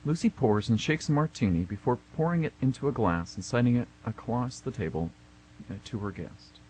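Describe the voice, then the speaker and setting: Animated male radio play narrator. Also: medium pitch, fairly quick, rough recording. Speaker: narrator reading stage directions. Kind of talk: scripted narration. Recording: faint noise and hum.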